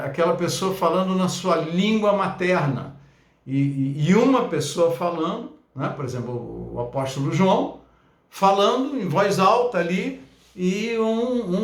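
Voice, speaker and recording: A man's voice: on the high side, roomy sound. A man teaching a Bible lesson as a pastor, talking conversationally with frequent fillers and tag questions.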